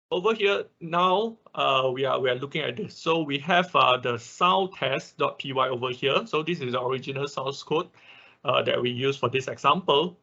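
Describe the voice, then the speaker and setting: A male instructor with a bright, forward voice and a creaky edge walks through a screen-share tutorial. He goes step by step, haltingly, and keeps tagging his points with a quick check. The sound is slightly dull, like online class audio. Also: mid-range voice. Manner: halting, step-by-step, often ending on a checking "right?".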